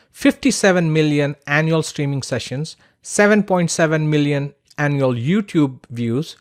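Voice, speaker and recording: A man's voice: on the high side, dry recording, clean studio sound. A man delivering scripted instructional narration as a podcaster and teacher, in an emphatic, persuasive manner.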